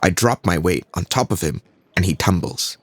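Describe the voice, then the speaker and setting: An earnest young fighter telling his story, low voice, singaporean accent, measured, really dry studio sound. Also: lively intonation, no room sound. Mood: tense, focused. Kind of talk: scripted first-person audio drama narration.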